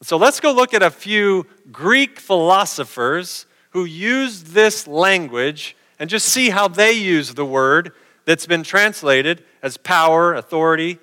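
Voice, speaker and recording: Man, middle-aged, conversational preacher, bright forceful voice, long hall reverb. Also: high-pitched, lively intonation, slow pace, clean tone. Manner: conversational, posing rhetorical questions. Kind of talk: sermon.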